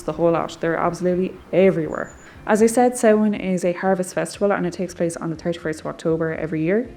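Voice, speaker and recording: A young Irish woman talking to camera outdoors in the countryside, chatty and easygoing. Her voice sits a little low, and she slips in plenty of "like" fillers as she tells old stories to her viewers. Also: warm tone. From Ireland. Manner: chatty, storytelling, lots of "like" fillers. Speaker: vlogger. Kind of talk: casual outdoor travel vlog.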